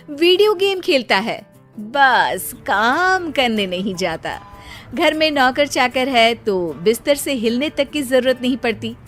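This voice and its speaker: A woman narrating a scripted comic story for an animated video. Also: high voice.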